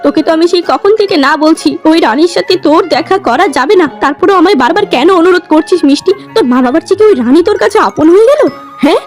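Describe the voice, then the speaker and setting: A woman's voice: very high-pitched, expressive, quick pace. A woman voicing a stern, anxious poor village parent, scolding and insistent, in a melodramatic children's cartoon. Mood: angry scolding. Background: music.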